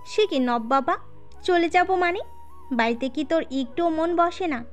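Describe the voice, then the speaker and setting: An actress as a middle-aged aunt in an audio family story, high in pitch. She chides gently and coaxes in a motherly, affectionate way. Faint music sits behind her. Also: measured pace. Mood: concerned, coaxing. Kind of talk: Bengali audio story acting.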